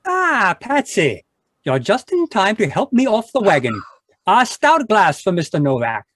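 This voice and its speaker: A man's voice: high voice, wildly swooping pitch, unhurried, fairly bright tone, dry recording, studio quality, full character voice. A man playing a genial, boozy ex-doctor sidekick in a 1940s-style radio drama, talking in a florid, rambling, mock-dignified way. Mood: tipsy, grandiloquent. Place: live remote video-call performance.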